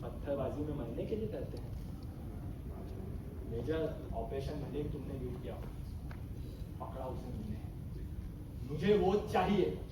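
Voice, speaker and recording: A man's voice: mid-range voice, lively intonation, measured pace, long pauses, close to an everyday voice. Young man acting a defiant army captain, far-off echoey stage. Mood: defiant, brooding. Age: young adult. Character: hot-headed, defiant male army captain. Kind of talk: amateur stage play acting in Hindi. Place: stage in a hall, distant microphone. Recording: echoey hall from far off, noisy.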